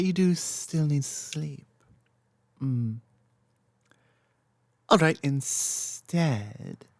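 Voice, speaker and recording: A woman playing an affectionate, teasing lamia girlfriend in an intimate ASMR roleplay, speaking softly and confidently with playful asides. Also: lowish voice, no echo, studio quality, full character voice. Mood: sweet, slightly bashful.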